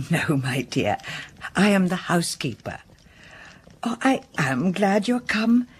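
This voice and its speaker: An actress playing a kindly, talkative elderly housekeeper in a radio drama, fussing warmly over a new arrival. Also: female voice, lowish voice, very animated, slow, husky, exaggerated voice. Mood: chatty. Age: older.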